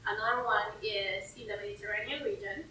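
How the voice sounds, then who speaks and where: A woman's voice: mid-range voice, fairly even intonation, a little fast, bright, forceful tone. A woman from Peru speaking as a curator in an informal interview, explaining things in simple, everyday terms. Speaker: university herbarium curator and plant taxonomist. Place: indoor herbarium room. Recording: echoey room, distant mic, faint noise.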